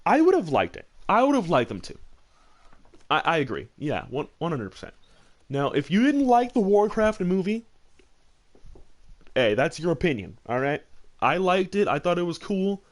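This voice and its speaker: A man's voice: fairly high pitch, huge pitch swings, moderate pace, pauses often. A man chatting casually as host of a gaming discussion, rambling and emphatic, often repeating phrases for stress. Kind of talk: casual chat, opinionated commentary.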